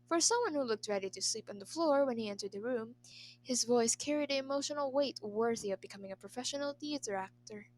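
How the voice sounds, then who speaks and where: A woman's voice: a little high, lively intonation, a little fast, darkish tone, a little hiss or hum. A woman narrating a scripted story as an amateur reader. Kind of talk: scripted narration of a fan fiction.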